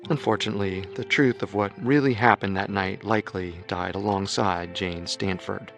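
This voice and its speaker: A man's voice: lowish voice, steady tone, normal speed, gravelly, a bit bright, soft background music. Man narrating true crime. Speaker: narrator and co-host.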